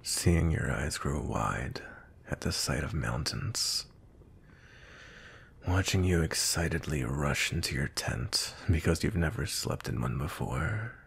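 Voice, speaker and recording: Man voicing a very deep, gravelly vampire knight. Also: animated, takes it slowly, full character voice. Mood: softening, tender. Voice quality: breathy, husky, often near whisper. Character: cold, grumpy male vampire knight captain. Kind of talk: intimate ASMR roleplay.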